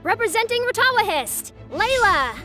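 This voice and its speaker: A woman's voice: very high-pitched, very animated, moderate pace, bright, forceful tone, soft background music, full character voice. A woman voicing an enthusiastic, formal championship announcer in a video game, polished and ceremonious. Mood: rousing. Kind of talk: video game character acting.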